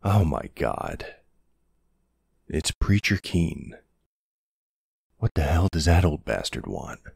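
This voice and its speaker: A man playing a protective, gruff but tender older boyfriend in an ASMR audio roleplay, unhurried and plain-spoken, with a husky, gravelly voice. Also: very deep voice, sing-song, a little mellow, dry recording, clean studio sound, full character voice. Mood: wary.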